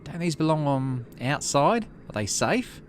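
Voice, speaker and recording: A man voicing a bright, eager, friendly nonbinary child in a video game, gushing and earnest. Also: fairly high pitch, big pitch swings, measured pace, slight background noise, pitched above the performer's own voice. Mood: dubious.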